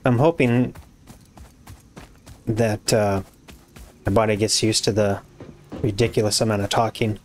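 Raspy man, hoarse and worn voice, mutters and swears while playing, faint music underneath. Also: on the low side, steady tone, measured pace, pauses often. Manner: muttering to himself while building, frustrated swearing. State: hoarse, losing his voice. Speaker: gaming YouTuber. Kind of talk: casual let's-play commentary.

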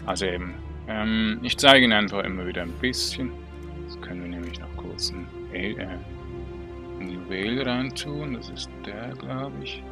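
Swiss German man with a low voice. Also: creaky. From Switzerland. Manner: chatty, self-talking, hesitant with fillers. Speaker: gaming video creator. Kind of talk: casual gameplay commentary. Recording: game music under voice.

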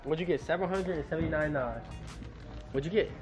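A man in his twenties bantering loudly and playfully in slang for a casual vlog. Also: a little high, fast talker, dull audio. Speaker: vlogger pranking customers with a bullhorn. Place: motorcycle dealership showroom and its parking lot. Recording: loud music over the voice.

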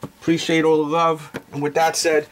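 Slangy, higher-pitched American male sneaker vlogger. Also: monotone, moderate pace, background noise. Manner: slangy hype-man patter with repeated catchphrases. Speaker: sneaker review show host. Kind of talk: casual vlog product review. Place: small indoor room.

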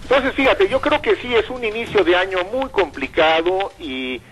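Husky older man, lively pitch. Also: normal speed, rough recording. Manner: thoughtful, many hesitations and repeated words. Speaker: radio guest, commentator. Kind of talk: radio interview conversation.

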